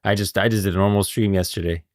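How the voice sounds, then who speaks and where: A man with a deep voice hosts a livestream, captured close and dry. He chats casually and reacts as he goes, using informal slang and often thanking his viewers. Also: fairly even intonation, quick pace, no echo. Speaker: livestream host.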